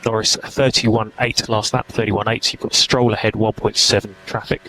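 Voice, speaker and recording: A man's voice: on the low side, little pitch movement, moderate pace, bright, forceful tone. A man working as a race engineer, giving clipped, procedural instructions over team radio. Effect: team radio transmission. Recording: noisy team radio feed.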